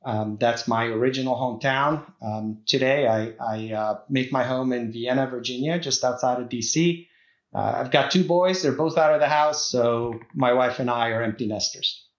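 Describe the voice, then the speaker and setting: Middle-aged man, guest interviewee, halting speech full of fillers, roomy echo, dull lo-fi sound. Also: medium pitch. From Czech Republic. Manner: hesitant, many fillers and restarts. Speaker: guest executive. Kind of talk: podcast interview.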